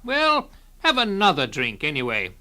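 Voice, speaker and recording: An awkward, well-meaning drinking companion telling his tale in a radio drama. The voice is bright and forward, with lively swings of pitch and a measured, confiding delivery. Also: exaggerated voice. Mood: confiding, earnest. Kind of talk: dramatised short-story narration.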